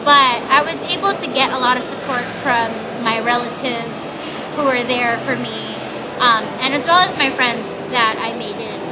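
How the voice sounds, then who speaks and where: A woman's voice: fairly high pitch, normal speed. A young woman from the Philippines, Tagalog her first language, answering oral history questions earnestly with many ums and restarts. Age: young adult. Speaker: interviewee. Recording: loud background noise.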